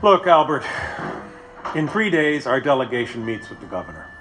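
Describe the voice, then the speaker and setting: A man's voice: medium pitch, big pitch swings, normal speed, fairly bright tone, soft background music. An actor playing a friend urgently pleading with a condemned man to write for mercy. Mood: insistent. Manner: insistent, pleading.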